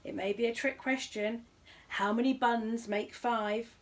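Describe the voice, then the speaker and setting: A woman's voice: a little high, steady tone, unhurried, voice pushed up, close to an everyday voice. A woman voicing a strained, easily crossed male quiz show master of ceremonies in a children's story, in a showy announcing manner.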